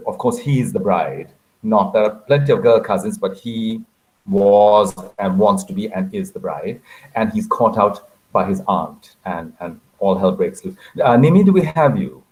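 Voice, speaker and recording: A man hosting a conversational online event in a chatty, halting way, repeating phrases as he goes. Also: fairly low pitch, darkish tone, poor audio. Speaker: moderator, host. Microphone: online video call.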